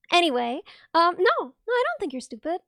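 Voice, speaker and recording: A young woman chats her way through a soldering stream, bubbly and quick to laugh as she explains each step. Her voice sits high and bright, and the sound is dry and close. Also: dry recording. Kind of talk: casual live-stream chat and explanation.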